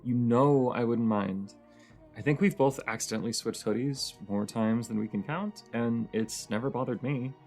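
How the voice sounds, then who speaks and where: A woman's voice: moderate pace, darkish tone, faint music behind. A woman playing a cozy, teasing young roommate with a shy crush in an intimate audio roleplay, her voice sleepy and grumbly. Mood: playful, warm. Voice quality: sleepy, grumbly low voice with creak.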